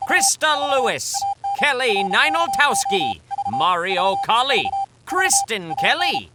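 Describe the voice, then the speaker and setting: Woman credits announcer, super animated pitch, scripted, really noisy recording. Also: takes it slowly.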